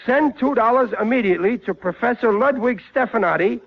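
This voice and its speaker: A man's voice: very high-pitched, a little fast, vocal fry, full character voice. An actor playing a stubborn, easily fooled American family father in 1940s radio comedy, blustering, stammering and exasperated. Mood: reading aloud. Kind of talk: 1940s radio sitcom acting. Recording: muffled vintage 1940s radio recording.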